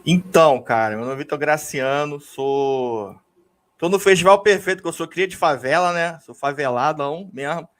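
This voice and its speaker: Man, Carioca accent, filmmaker guest, slangy, energetic, casual. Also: a little high, normal speed. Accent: Carioca Brazilian Portuguese. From Rio de Janeiro, Brazil.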